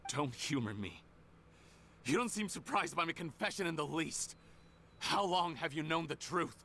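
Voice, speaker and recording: A man's voice: a little high, expressive, moderate pace, creaky, bright, forceful tone, slight background noise, full character voice. A man voicing a bitter, cornered young researcher turned criminal in a video game, with a theatrical, emotionally intense delivery. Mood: indignant, defensive. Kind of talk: scripted video game voice acting.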